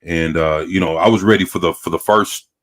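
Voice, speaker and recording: A man with a low-pitched American voice, chatting loosely in street slang. He swears freely and often doubles back on his words, repeating phrases as he goes. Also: steady tone, fairly bright tone. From United States. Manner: streetwise slangy speech, peppered with profanity; halting, repetitive phrasing. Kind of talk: casual chat.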